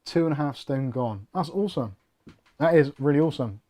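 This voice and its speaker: Mellow-voiced British man chatting. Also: on the high side, lively intonation, a little fast. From United Kingdom. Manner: rambling, jokey, self-deprecating. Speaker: host of a tech channel livestream. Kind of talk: casual livestream chat, reading viewer comments.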